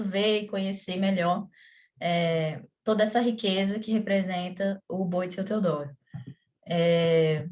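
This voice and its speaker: A woman's voice: medium pitch, lo-fi sound. Brazilian woman teaching with many fillers. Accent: Brazilian Portuguese. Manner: conversational, frequent fillers and restarts. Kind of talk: explanatory online lesson.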